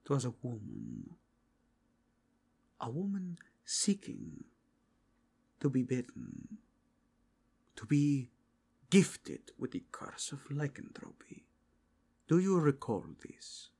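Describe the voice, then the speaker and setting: A man's voice: mid-range voice, huge pitch swings, slow pace, gravelly, pauses often, a little mellow, breathy edge, exaggerated voice. A man voicing a zealous, courteous inquisitor with formal, grave, archaic phrasing in a fantasy ASMR roleplay. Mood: fervent, solemn. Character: zealous male inquisitor, solemn, courteous, devoutly faithful.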